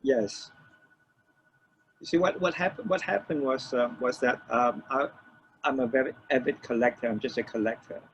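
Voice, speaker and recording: An older man from Malaysia, reminiscing as an interview guest in a halting way, repeating phrases as he explains. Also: mid-range voice. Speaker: interview guest, record producer. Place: room with shelves of DVDs, on a remote call. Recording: dull lo-fi call, faint noise.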